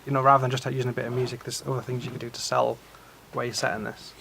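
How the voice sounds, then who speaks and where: A man's voice: mid-range voice, level tone, very fast, gravelly, fairly bright tone. A man speaking as a teacher, giving informal critique and probing questions in a conversational way. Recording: faint room noise.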